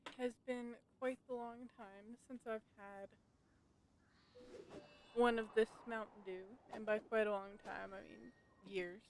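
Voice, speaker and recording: A woman's voice: medium pitch, low-quality recording. A woman streaming a game live, chatting casually and playfully reacting aloud.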